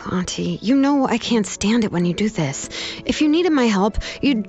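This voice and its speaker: A woman voicing a confident, principled young female blacksmith in a video game, measured, matter-of-fact and firm. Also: on the high side, animated, dull audio, music in the background, close to an everyday voice. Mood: exasperated, caring.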